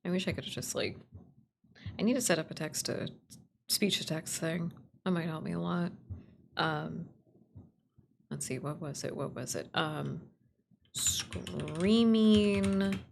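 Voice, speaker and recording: A woman talks casually to herself on a work-along stream, repeating words and trailing off as she drafts. Her voice sits a little low with plenty of fry, and the pace is very slow. Typing and a faint background noise come through. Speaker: streamer, writer and voice actor. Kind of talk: casual work-along stream, thinking aloud while writing. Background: computer keyboard typing and clicks.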